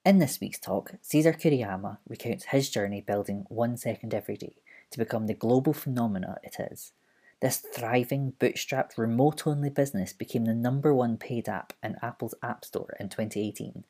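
A man's voice: mid-range voice, normal speed, dark tone. A man hosting a podcast, reading an upbeat scripted intro. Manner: upbeat, punny announcer. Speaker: podcast host.

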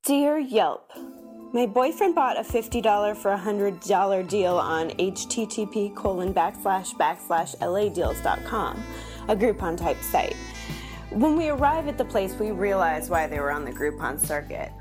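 A woman's voice: medium pitch, expressive, normal speed, over music, close to an everyday voice. A woman performing a dramatic comic reading as an exasperated, snarky young female restaurant reviewer, theatrical and emphatic. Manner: melodramatic, sardonic, emphatic.

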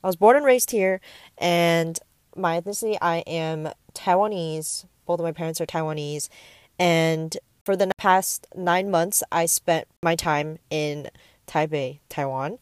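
A woman's voice: lowish voice, unhurried, clear, resonant tone, no room sound. A nineteen-year-old woman from the Bay Area hosting a casual solo podcast, rambling with lots of fillers and getting easily sidetracked. She records in a parked car on earbud mics. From San Francisco Bay Area. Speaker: podcast host. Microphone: wired earbuds mic into a tablet.

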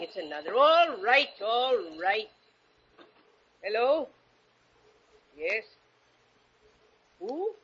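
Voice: medium pitch, a bit bright, husky, exaggerated voice. A performer playing a suspicious, fussy house servant with muttering, folksy delivery in 1940s radio mystery style. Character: grumbling, suspicious house servant answering the door. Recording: muffled old-time radio recording.

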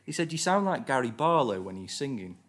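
A young man with a Stoke-on-Trent accent chats as a student podcast guest. His delivery swings up and down in pitch, and his tone is bright and forward. He tells stories casually, often quoting other people. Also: fairly quick. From northern England, Stoke-on-Trent. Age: young adult. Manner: animated storytelling, quoting others. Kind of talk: casual anecdotal chat.

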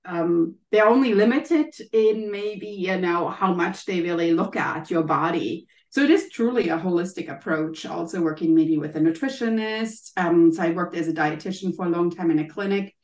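A woman's voice: on the low side, normal speed, hardly any pauses, echoey room. A German woman with a German accent, a guest coach explaining things in casual interview answers, with frequent ums and maybes. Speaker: guest, health and happiness coach.

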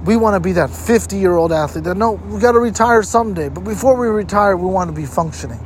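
A man's voice: high voice, fast, a little hiss or hum. A man hosting a motivational health-tips talk, speaking emphatically and repeating points for stress. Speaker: host giving health tips. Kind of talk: motivational advice talk to camera.

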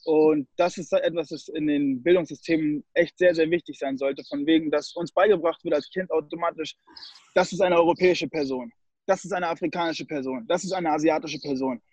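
A man's voice: a little high, fairly even intonation, brisk, darkish tone, breathy edge, no room sound, rough recording. A young man from Germany, a guest in a casual interview, who slips English phrases into his German. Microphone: call recording.

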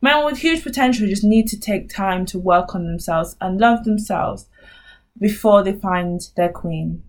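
A woman's voice: medium pitch, unhurried. A woman co-hosting a podcast, giving blunt, emphatic advice in casual chat. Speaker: podcast co-host.

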